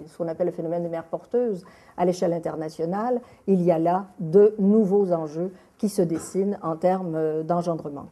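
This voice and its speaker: Dark-voiced halting woman. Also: fairly low pitch. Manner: halting, many filler hesitations. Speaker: documentary filmmaker guest. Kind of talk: interview answer.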